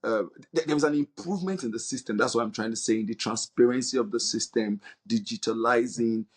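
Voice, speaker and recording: A man's voice: mid-range voice, a little fast, breathy edge. A man with a Nigerian accent answering interview questions in an earnest, hesitant way, searching for words. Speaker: interview guest, non-profit leader.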